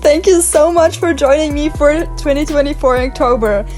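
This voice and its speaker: A woman's voice: high voice, moderate pace, darkish tone, faint music behind. A young woman with a German accent, chatting casually in a bubbly, rambling voice-over about her drawings. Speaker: art vlogger.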